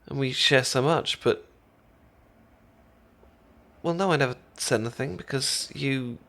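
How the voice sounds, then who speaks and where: A man acting a shy British best friend with a crush in a romantic ASMR roleplay. He speaks slowly and tenderly, stammering and hesitating, affectionate throughout. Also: mid-range voice, fairly bright tone. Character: shy, affectionate boyfriend-to-be best friend teaching sushi making.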